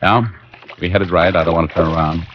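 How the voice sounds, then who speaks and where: An actor playing a cool, hard-boiled private detective in a 1950s radio drama, terse, wry and deadpan. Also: male voice, sits low, a little fast, background noise, close to an everyday voice. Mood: dry, wry. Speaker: lead actor and narrator. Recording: dull vintage radio recording with music.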